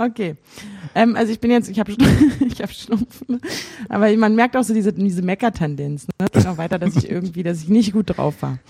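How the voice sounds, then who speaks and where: A woman's voice: mid-range voice, brisk, gravelly, few pauses, dark tone, breathy edge, no echo, clean studio sound. A woman co-hosting a casual chat show. She reads from her old diary, hesitating with many fillers and laughing often. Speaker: podcast co-host.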